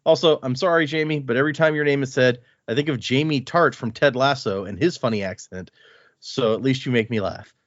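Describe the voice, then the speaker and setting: A man hosting a podcast, reading a listener's email aloud and adding his own quick asides between lines. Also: medium pitch, no room sound. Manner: reads with lively asides and comments between lines. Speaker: podcast host. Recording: dry, slightly dull lo-fi sound.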